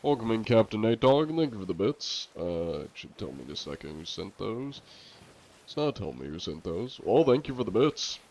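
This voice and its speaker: A man chatting casually to viewers on a live game stream, congested and coughing now and then, chatty and quick to laugh. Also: lowish voice, big pitch swings, darkish tone.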